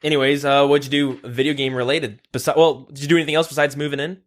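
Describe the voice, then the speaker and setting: Young male podcast host, relaxed, reads the news. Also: on the high side, quick pace. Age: young adult. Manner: steady, runs the show and leads topics. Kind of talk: casual chat, reading news. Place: shared room, two mics.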